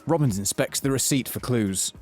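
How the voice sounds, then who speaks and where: Male narrator for comic audio drama, medium pitch, scripted scenes and casual asides, soft music underneath. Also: moderate pace.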